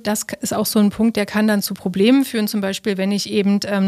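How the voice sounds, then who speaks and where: A woman with a medium-pitched voice speaks as an interviewed expert. She explains in a conversational way, working through everyday examples as she goes. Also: a little mellow.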